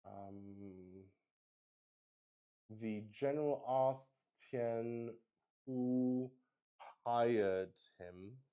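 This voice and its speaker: A man improvising game narration for a solo tabletop roleplay stream, musing out loud in a halting way. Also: medium pitch, clean tone, muffled recording. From Luxembourg.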